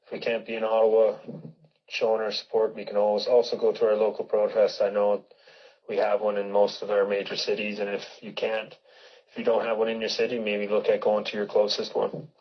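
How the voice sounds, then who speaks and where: A man calls in with a low, flat voice and makes calm, matter-of-fact suggestions. His audio sounds muffled and lo-fi. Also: brisk. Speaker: caller. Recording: lo-fi online voice chat audio.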